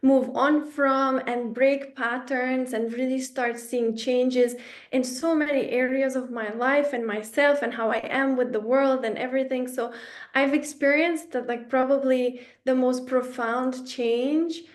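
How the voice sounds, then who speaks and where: A woman's voice: sits high, fairly even intonation, measured pace. A young woman from Romania leading an online workshop, chatty and self-effacing, with many fillers and restarts. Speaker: workshop facilitator.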